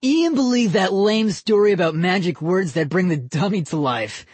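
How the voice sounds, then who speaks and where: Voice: medium pitch, lively intonation, normal speed, darkish tone, husky, dry recording, pitched above the performer's own voice. A narrator voicing a cocky, mocking prankster boy in an audiobook.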